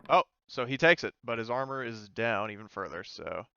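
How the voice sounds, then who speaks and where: A man's voice: medium pitch, lively intonation, moderate pace, vocal fry, lo-fi sound, noisy background. A man acting as game master in a casual tabletop roleplaying session, hesitant and thinking aloud as he checks rules.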